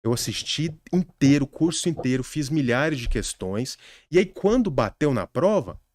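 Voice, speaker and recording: A man's voice: medium pitch, lively intonation, normal speed, a little mellow, no echo. A young adult man with a Brazilian accent, a podcast guest earnestly sharing study advice in casual conversation, with frequent restarts. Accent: Brazilian Portuguese.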